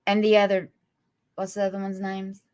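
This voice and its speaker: A woman's voice: medium pitch, completely flat delivery, normal speed, darkish tone. A woman, scolding and mocking her critics on a live stream in a sarcastic drawl. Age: 40s. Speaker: livestream guest.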